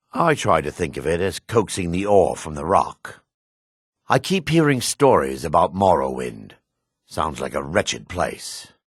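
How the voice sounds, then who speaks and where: An actor playing a proud, gruff dark elf warrior in a fantasy game, with a raspy voice and a haughty, clipped, sardonic manner. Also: male voice, rumbling low, dry recording, clean studio sound, exaggerated voice. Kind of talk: fantasy video game character dialogue.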